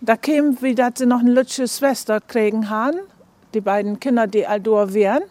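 A woman with a northern Low German accent explains conversationally, as an academic being interviewed. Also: normal speed, mellow, dry recording.

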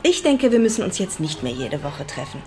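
A woman playing an encouraging, soothing counsellor in a radio drama, reassuring and measured. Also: low-pitched, very animated, dark tone.

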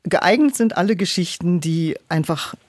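Woman, slightly low voice, interview guest with a director's explanatory way of talking, very dry acoustic. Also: lively intonation, moderate pace, darkish tone, dry recording. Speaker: audiobook director and producer, podcast guest. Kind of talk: reflective interview conversation.

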